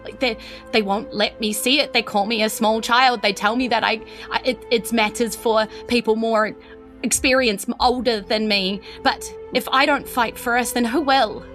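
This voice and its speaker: A New Zealand woman playing an earnest, nervous but determined teenage empress in a tabletop game, speaking haltingly. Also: fairly high pitch, fairly even intonation, fairly bright tone, faint music behind, pitched above the performer's own voice, lightly acted. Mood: bitter, determined.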